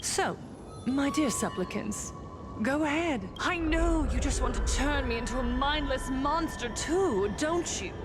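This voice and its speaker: A woman playing a wounded young woman in a video game, deliberate and theatrical, close to madness. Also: high voice, lively intonation. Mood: manic, mocking. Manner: deliberate, dramatic. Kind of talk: dramatic game storytelling. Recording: music clearly under the voice.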